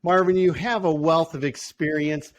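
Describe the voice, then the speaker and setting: A man with a high voice hosts a podcast, opening with a brisk, upbeat announcer-style introduction. He then turns to conversational questions for his guest. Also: fairly even intonation, a little hiss or hum. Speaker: podcast host.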